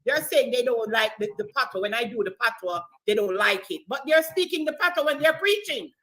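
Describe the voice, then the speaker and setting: A woman's voice: a little high, animated, fairly quick, bright, forceful tone, poor audio. A woman with a Jamaican accent, animatedly telling stories in a casual group chat and mimicking accents.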